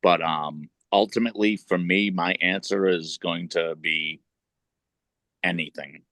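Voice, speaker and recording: A man's voice: low voice, steady tone, a little slow, fairly bright tone, dry recording, studio quality. A middle-aged man, a wrestler and podcast co-host, talking at length in casual chat, swearing and building long points.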